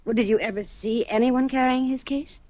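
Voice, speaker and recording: An actress playing an anxious but determined wife, probing and hesitant, in a 1970s radio drama. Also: female voice, fairly high pitch, steady tone, brisk, no echo, natural voice. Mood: guarded, probing. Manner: probing, hesitant, emotionally urgent. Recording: dull lo-fi old radio recording.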